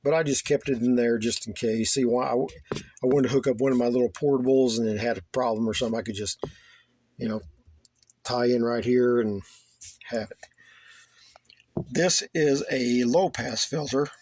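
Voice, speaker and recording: Halting, plainspoken man. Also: mid-range voice, fairly even intonation, a little mellow, dry recording. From United States. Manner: halting, plainspoken, repeats himself. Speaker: radio hobbyist giving a tour of his setup. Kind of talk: casual unscripted show-and-tell. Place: small home radio room.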